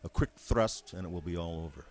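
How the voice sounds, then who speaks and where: An actor playing a male army signal captain reporting from the field in a 1930s radio drama, calm and official. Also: low voice, brisk. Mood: assured. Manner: calm official tone rising into alarmed shouting. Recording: old radio broadcast recording.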